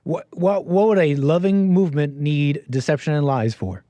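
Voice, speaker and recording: A man's voice: a little high, normal speed, mellow, no room sound. An American man hosting a call-in show, rambling casually with lots of restarts and laughs.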